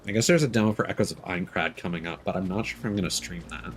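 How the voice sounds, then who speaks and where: A man's voice: sits low, fast talker, faint music behind. A young man streaming a video game, talking casually and frankly, rambling and swearing freely. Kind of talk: casual gameplay commentary.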